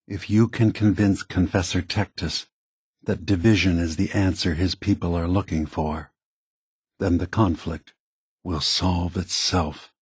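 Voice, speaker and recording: Thoughtful synth leader, video game character, weary, grave, peace-seeking, husky, deliberate pacing, close dry sound. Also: male voice, low voice, a little mellow, dry recording, full character voice. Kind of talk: scripted video game dialogue.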